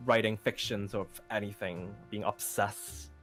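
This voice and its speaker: A man's voice: lowish voice, fairly even intonation, fairly bright tone. A man playing an earnest, rambling lovesick young friend. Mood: playful. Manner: halting, rambling, repeats himself, often says "you know". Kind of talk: improvised roleplay dialogue, casual and conversational. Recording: background music under the voice.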